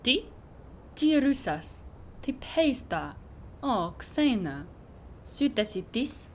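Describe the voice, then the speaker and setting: A woman's voice: fairly high pitch, very animated, slow pace, a little hiss or hum. Woman acting a declamatory ancient Greek prophetess. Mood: questioning. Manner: declamatory, stretched-out epic speech. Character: ancient Greek prophetess, a Sibyl, solemn and questioning.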